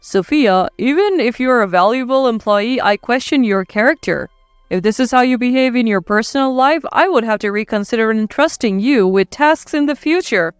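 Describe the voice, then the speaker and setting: A woman voicing a middle-aged uncle and company boss in a story, measured and grave, stern but kindly. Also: fairly high pitch, animated, smooth, dry recording, soft background music, natural voice. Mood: stern, shocked.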